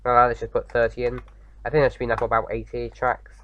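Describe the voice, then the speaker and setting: A man with a slight sore throat, slightly croaky, chatting casually as he hosts a game playthrough, rambling with lots of fillers. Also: mid-range voice, monotone, fast, fairly bright tone, highs cut off, slight background noise. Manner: rambling, many fillers and restarts. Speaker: gaming video host. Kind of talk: casual let's-play commentary.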